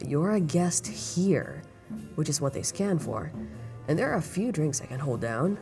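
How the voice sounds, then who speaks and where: A woman's voice: deep voice, big pitch swings, measured pace, over music, heavily acted. A woman playing a composed, wry syndicate operative in an audio roleplay, speaking in an understated, knowing way. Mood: composed, assured. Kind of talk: dramatic audio roleplay acting.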